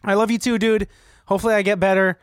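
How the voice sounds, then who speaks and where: A man's voice: high-pitched, flat delivery, quick pace, smooth, no echo, clean studio sound. A man co-hosting a podcast in casual, comedic chat. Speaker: podcast co-host. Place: indoors, remote recording.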